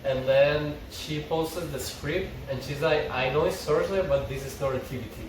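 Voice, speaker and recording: A man's voice: fairly high pitch, moderate pace. A man speaking as a fan, reading stage directions aloud or chatting casually. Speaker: fan table reader and commentator. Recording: echoey, far from mic, noisy room.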